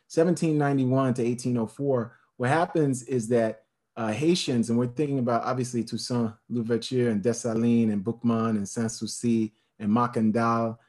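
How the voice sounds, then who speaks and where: A man's voice: medium pitch, darkish tone. Impassioned man lecturing on history. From United States. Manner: emphatic, building lists, many fillers and restarts. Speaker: speaker on history.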